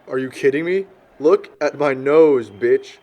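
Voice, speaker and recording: A man's voice: medium pitch, measured pace, a little mellow, dull audio, natural voice. Actor as a blunt, crude young man. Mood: indignant. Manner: blunt, scornful. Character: crude, blunt, judgmental young man, loyal to his buddies. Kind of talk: amateur audio drama acting.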